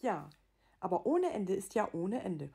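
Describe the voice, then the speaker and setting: A woman's voice: medium pitch, sing-song, measured pace, creaky, dark tone, slightly rough, heavily acted. A woman playing a bossy, greedy, stubborn wife in an amateur audio drama. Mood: stubborn, irritated.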